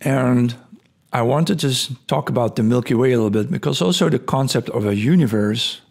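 Hoarse man explaining word trends. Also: mid-range voice, brisk, a little mellow. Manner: thinking aloud, many fillers and restarts. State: hoarse, voice cracking, clearing throat. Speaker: podcast host. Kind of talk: casual explanatory talk over screen share. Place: indoor room at home. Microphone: studio mic on boom arm.

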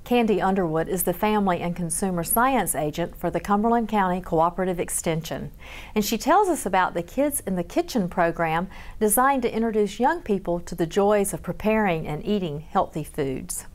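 A woman reporter reading a scripted news introduction in a measured way. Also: lowish voice, darkish tone.

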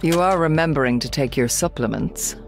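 Game villainess: icy scientist, haughty, drawn-out, disdainful lines over music. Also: female voice, low-pitched. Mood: cold, dry. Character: cold, haughty female geneticist villain.

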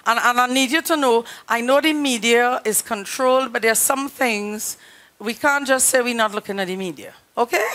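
Middle-aged Trinidadian woman preacher, a bit hoarse, earnest and emphatic, somewhat high voice, headset mic in a small studio. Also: moderate pace, fairly bright tone. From Trinidad and Tobago. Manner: earnest, emphatic, direct address to congregation. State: slightly hoarse. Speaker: pastor. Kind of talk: sermon.

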